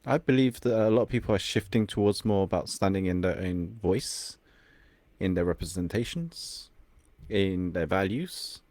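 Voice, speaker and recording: A man's voice: sits low, moderate pace, a little mellow, no echo. A young man from England whose first language is Cantonese, a confidence coach speaking reflectively as a podcast guest, sometimes searching for words. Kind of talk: podcast interview.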